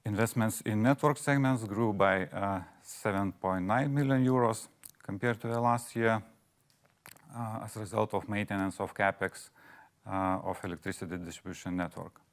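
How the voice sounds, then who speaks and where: Low-voiced man, halting and formal. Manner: halting, with many hesitations. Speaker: chief financial officer. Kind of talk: formal financial results presentation.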